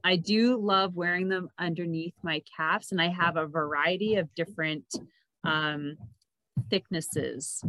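A woman's voice: on the low side, steady tone, unhurried, bright, forceful tone, no room sound, poor audio. A woman hosting a casual podcast interview, chatting in an enthusiastic way. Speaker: podcast host.

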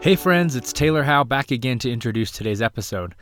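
A man reading a scripted episode introduction for a podcast in a brisk, friendly way. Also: medium pitch, lively intonation, music in the background. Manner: brisk, friendly read-through. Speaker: show announcer introducing episode.

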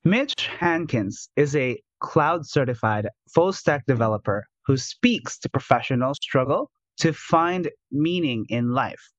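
A man hosting a podcast as a life coach, reading a polished intro and then asking interview questions in a smooth, upbeat way. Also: mid-range voice, animated, unhurried, hardly any pauses, no echo, dull audio.

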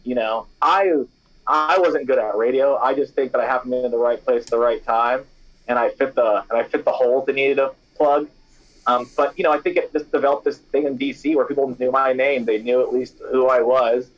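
A man's voice: medium pitch, brisk, highs cut off. A young man, a snack company founder and former radio DJ, answering interview questions in a casual, chatty way, full of "you know" and "like". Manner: chatty and animated, many fillers like "you know" and "like".

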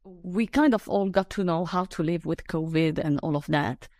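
Soft-spoken woman podcast host. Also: moderate pace, no room sound, studio quality. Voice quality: low, soft voice. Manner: laughs often, hesitant with fillers. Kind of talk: casual conversational chat.

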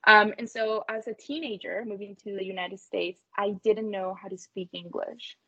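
A woman's voice: mid-range voice, steady tone, moderate pace, pushed and bright, husky, no echo, dull audio. A woman from Colombia whose first language is Spanish, speaking earnestly and effusively as an interview guest, telling her story in a conversational way. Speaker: interview guest, tech company co-founder.

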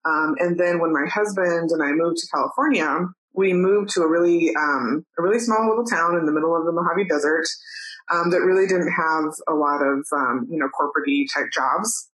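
A woman in her thirties from New Mexico, talking casually as a podcast guest, chatty with many "um"s and soft laughs. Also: sits low, normal speed, rough recording. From New Mexico, USA. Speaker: guest, photographer and educator. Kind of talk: casual interview chat.

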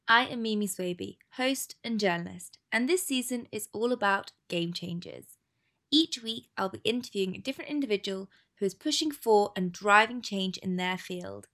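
A woman hosting a podcast interview as a journalist, with a friendly, clear manner. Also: mid-range voice, animated, moderate pace, a bit bright. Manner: friendly, clear scripted intro. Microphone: video call.

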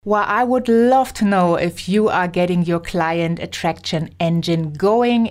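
A woman hosting a podcast, asking stumbling questions and giving an upbeat promotional wrap-up. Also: fairly low pitch. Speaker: podcast host. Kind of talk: podcast interview and outro.

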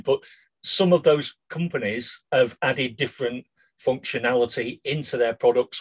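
Croaky man co-hosting a podcast. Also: medium pitch, low-quality recording. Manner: addresses listeners as guys, ends lines with "yeah?". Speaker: podcast co-host. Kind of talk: casual business chat.

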